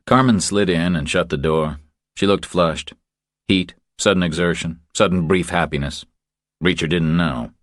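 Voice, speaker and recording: A man's voice: low voice, moderate pace, no echo, studio quality. A man narrating a thriller audiobook from a script.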